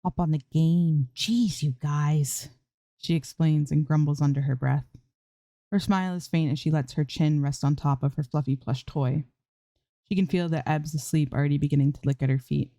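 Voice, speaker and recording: A woman's voice: deep voice, fairly even intonation, brisk, dark tone, no room sound. A woman reading fan fiction aloud as an amateur narrator, in scripted storytelling style.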